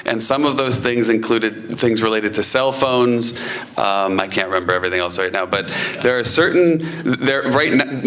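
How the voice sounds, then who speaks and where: A man's voice: lowish voice, fairly bright tone. A man answering questions at a meeting, hesitant and often restarting. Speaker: meeting presenter. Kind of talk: casual Q and A at a meeting.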